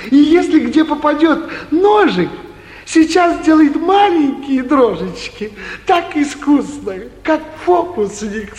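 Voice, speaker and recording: Obsequious, hesitant provincial landowner; extremely high voice, theatrical radio comedy, reverberant hall. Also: male voice, expressive, slow, a little mellow, heavily acted. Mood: pleading, deferential. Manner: hesitant, roundabout, deferential. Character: fussy, timid provincial landowner, obsequious doting father. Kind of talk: theatrical 1940s stage comedy acting. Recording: echoey 1949 theatre radio production.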